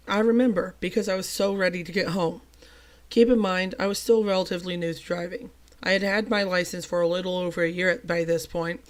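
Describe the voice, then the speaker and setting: Young storyteller with a cold-worn voice. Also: medium pitch. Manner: chatty and self-deprecating, with lively emphatic bursts. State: recovering from a cold, voice a little off. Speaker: channel host telling a personal story. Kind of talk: storytime narration.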